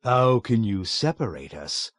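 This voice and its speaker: A man voicing a sly, unruffled male colonel who speaks with slow, dry irony. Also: mid-range voice, expressive, no echo, lightly acted. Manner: drawling, ironic, dryly mocking.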